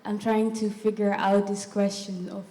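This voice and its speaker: A young woman in her twenties from Rwanda, speaking from the audience at a live festival panel, halting and full of fillers and short laughs. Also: mid-range voice, flat delivery, dark tone. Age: 20s. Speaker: audience member asking a question. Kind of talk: hesitant spoken remarks at a live festival panel. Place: festival event hall. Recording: echoey hall with long reverb.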